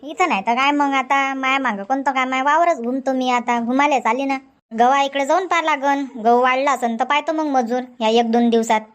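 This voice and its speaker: A woman's voice: sits high, fairly quick, natural voice. A woman voicing a bossy, chatty farmer's wife in a comic cartoon, with a rustic Varhadi Marathi accent. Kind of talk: comic cartoon dialogue dubbing.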